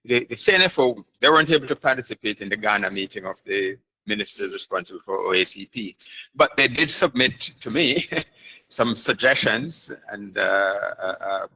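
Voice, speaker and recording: A man on a seminar panel quickly adding a point, stammering through restarts. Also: on the low side, sharp tone, husky, poor audio. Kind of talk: panel discussion.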